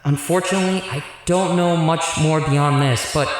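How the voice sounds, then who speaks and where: Heavy echo and long reverb surround this earnest hero played by a man, whose voice sits a little high. He is a weary supernatural fighter in a radio drama, explaining himself seriously. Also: fairly even intonation, normal speed, lightly acted. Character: earnest time-warping husband, weary demon fighter. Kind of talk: audio drama acting. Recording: very echoey, long hall reverb.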